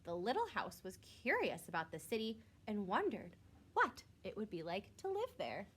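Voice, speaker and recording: A woman's voice: mid-range voice, huge pitch swings, normal speed, a bit bright, breathy edge. A woman reading a picture book aloud like a teacher to young children, expressively and with simple asides. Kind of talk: storybook read-aloud with short asides.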